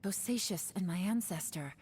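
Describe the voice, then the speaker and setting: A woman's voice: medium pitch, expressive, moderate pace, darkish tone, close to an everyday voice. A woman voicing a serious, wry adventurer in a video game, with a dry delivery.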